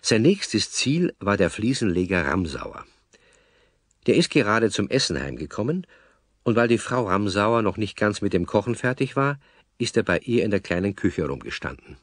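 An actor with a Bavarian accent as the easygoing narrator of a children's radio play. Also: male voice, fairly low pitch, sing-song, moderate pace, no room sound, studio quality. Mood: amused telling. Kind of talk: scripted children's story narration.

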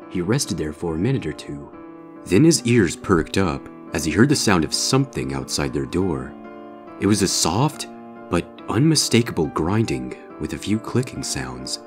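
Very deep-voiced male story narrator. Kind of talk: scripted narration of a horror fan fiction story.

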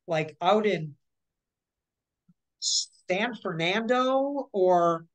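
A woman in her forties, a voice actor, telling animated stories with lots of restarts over a video call from her living room. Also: medium pitch, extremely slow, poor audio. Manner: chatty, animated, with many restarts and hand gestures. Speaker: guest voice actor. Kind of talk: casual interview storytelling.

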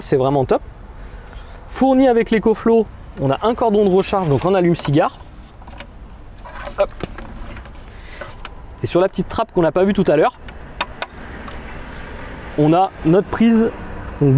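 A man's voice: on the high side, dark tone, a little hiss or hum. Chatty man demoing gear by a car. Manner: explanatory, chatty, with fillers. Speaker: product demonstrator. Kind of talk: casual product demo. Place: outdoor car lot beside a car.

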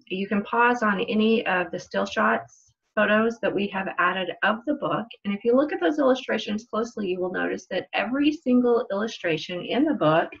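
A woman's voice: medium pitch, creaky. Dull-sounding middle-aged woman, story time host. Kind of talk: children's story time read-aloud and craft instructions. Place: indoors in a room at home. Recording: dull, lo-fi home webcam audio.

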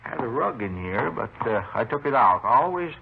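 An actor playing a garrulous, folksy, meticulous retired railroad engineer who carves wood, in a 1950s radio drama. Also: male voice, medium pitch, very animated, a little fast, vocal fry. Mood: chatty, genial. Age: older. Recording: noisy old radio recording, narrow phone-like band.

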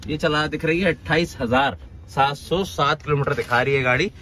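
A man's voice: a little high, normal speed, fairly bright tone, slight background noise. A young man hosting a casual vlog, walking a used car lot outdoors and chatting with questions and repeated "okay, okay". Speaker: car vlogger host.

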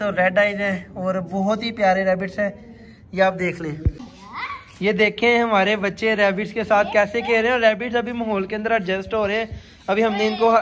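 A man's voice: high voice, a bit bright. A young man with a Pakistani Punjabi accent, talking casually to camera as a vlogger. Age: young adult. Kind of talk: casual vlog talk to camera. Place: outdoors by roads, brick yards and shops. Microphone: phone at arm's length. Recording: clear outdoor traffic and ambient noise.

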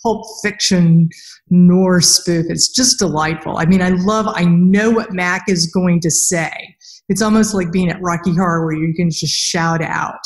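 A woman's voice: on the low side, steady tone, a little slow. A middle-aged woman hosting a casual interview on a video call, talking conversationally. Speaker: podcast host interviewing an author. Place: indoors against a plain wall, video call.

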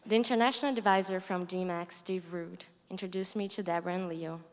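A woman's voice: on the low side, steady tone, fairly quick. Young Brazilian woman reading testimony in a hall. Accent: Brazilian Portuguese. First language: Portuguese. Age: young adult. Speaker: student giving a testimony. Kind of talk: reading a prepared testimony aloud. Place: large dark stage hall. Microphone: handheld mic. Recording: long hall reverb.

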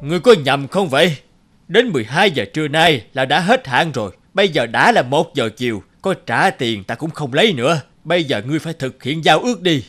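A wealthy village moneylender in a cartoon folk tale. He is haughty, scheming and cruel, with lively, swooping intonation and a higher-than-average male pitch, over music. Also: fairly quick. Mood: haughty, menacing. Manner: haughty, threatening, turning fawning before officials. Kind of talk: animated folk tale dubbing.

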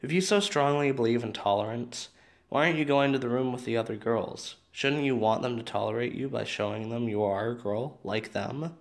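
A man acting as a curious young guy in an audio drama. His mid-pitched voice is creaky, and his delivery is plain and questioning. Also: a little fast, lightly acted. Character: curious, questioning young male friend named Matt. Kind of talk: scripted audio drama dialogue.

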